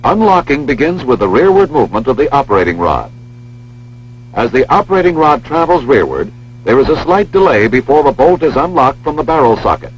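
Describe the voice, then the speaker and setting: An American man with a mid-pitched voice delivers formal, precise instructional narration. His intonation moves widely while he explains each step in a measured way. The sound is dull and lo-fi, like an old film track, with a light hum underneath. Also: big pitch swings.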